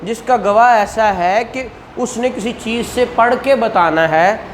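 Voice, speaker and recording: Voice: medium pitch, a little slow, a little hiss or hum. A law lecturer with a Pakistani Punjabi accent, explaining informally to a class and often checking in with questions. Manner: conversational, explanatory, repeated check-ins and rhetorical questions.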